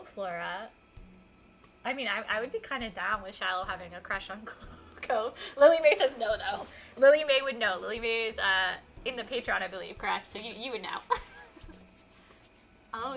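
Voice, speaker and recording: A woman livestreaming a visual novel, chatting casually and reading the story aloud in an animated way, reacting out loud. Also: medium pitch. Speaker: streamer playing a visual novel. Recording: faint music behind.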